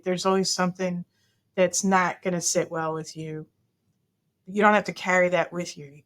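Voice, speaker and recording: A woman in her fifties speaks over a video call from her office at a middle pitch, earnest and reflective. She often restarts her sentences and fills the gaps with ums as she works out her answers. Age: 50s. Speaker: guest. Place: office with a large window.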